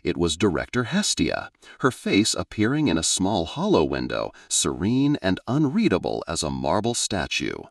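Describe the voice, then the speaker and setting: A man narrating a scripted science-fiction story in a measured, dramatic storyteller's way. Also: low-pitched, huge pitch swings, vocal fry, dry recording, clean studio sound.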